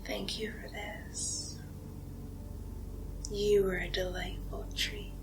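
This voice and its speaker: Very slow whispering vampire seductress, played by a woman. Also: mid-range voice, flat delivery, low-quality recording. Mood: hypnotic, soothing menace. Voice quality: breathy, mostly whispered. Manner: slow, hypnotic, lingering pauses and repetition. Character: condescending seductive female vampire feeding on human prey. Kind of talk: ASMR hypnosis villain role play.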